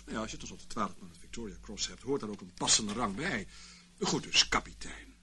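An actor playing a sarcastic, mock-polite intelligence official in a radio play, speaking dry, leisurely officialese. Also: male voice, lowish voice, expressive, a little fast, bright, forceful tone. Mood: dry, sardonic. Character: wry, sarcastic male intelligence official, calmly toying with his captive. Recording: noisy old radio play recording.

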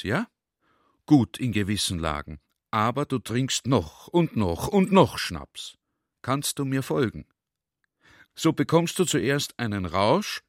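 A man's voice: medium pitch, fairly bright tone, no room sound, studio quality. An actor playing a talkative, earnest army general in a radio play, speaking conversationally as if to a comrade and checking that he follows.

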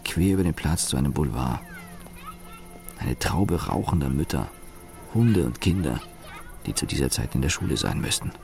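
Very deep, creaky, sardonic male office engineer. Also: very animated, moderate pace, long pauses, a little mellow, faint music behind, heavily acted. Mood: sardonic, observant. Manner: clipped fragmentary sentences, sardonic. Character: weary, cynical male office engineer, brooding inner monologue. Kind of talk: radio play first-person monologue.

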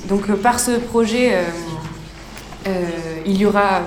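A woman's voice: medium pitch, steady tone, measured pace, natural voice. A woman playing a bureaucratic, very hesitant prefect at a mock press conference in a radio fiction. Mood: official, hesitant. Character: official female prefect, halting, defensive, bureaucratic. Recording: roomy echo with faint noise.

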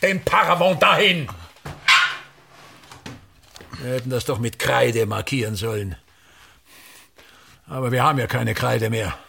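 An actor playing an obsessive, domineering old theatre director in a radio play, with a pompous, declamatory delivery. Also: male voice, medium pitch, moderate pace, creaky, pushed and bright, a little hiss or hum. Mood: exasperated, barking. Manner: declamatory, pompous, ranting in fits and starts.

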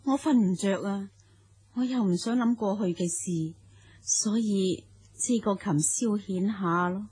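An actress playing a sorrowful, selfless noblewoman in a radio drama, with a husky, sighing, halting delivery. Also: medium pitch, mellow. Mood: melancholy, apologetic. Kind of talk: Cantonese radio drama acting.

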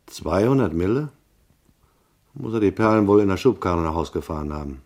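Low-voiced, laconic hard-boiled detective. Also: male voice, expressive, brisk, a little mellow. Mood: skeptical, dry. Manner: laconic, dry, sardonic. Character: wry, skeptical male private detective telling his case. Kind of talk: radio drama narration and dialogue, hard-boiled crime.

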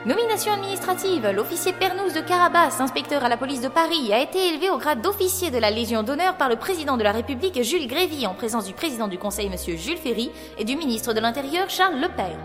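Woman acting high-voiced, coquettish young opera apprentice. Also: lively intonation, fast, few pauses. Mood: teasing, poised. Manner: coquettish, commanding, formal period speech. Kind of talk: French audio drama acting. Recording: long reverb, music behind.

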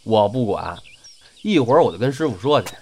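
A man's voice: medium pitch, very animated, a little fast. A man acting a teasing, bossy young senior apprentice in an audio drama. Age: young adult. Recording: background music under the voice.

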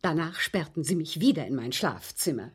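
Genteel wronged widow in a radio play, husky, very animated pitch, formal dramatic phrasing. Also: female voice, normal speed, exaggerated voice. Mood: bitter, composed. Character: genteel, aggrieved widow recounting her captivity. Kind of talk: German radio drama acting.

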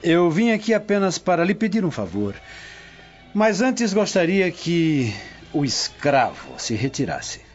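An actor playing a haughty, weary Roman prefect in a radio drama, theatrical in manner. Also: male voice, fairly high pitch, huge pitch swings, measured pace, highs cut off, faint music behind. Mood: weary disdain.

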